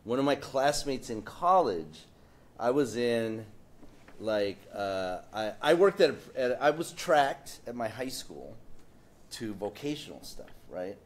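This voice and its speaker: A man on a discussion panel telling a lively personal story in a casual, animated way. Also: mid-range voice, takes it slowly.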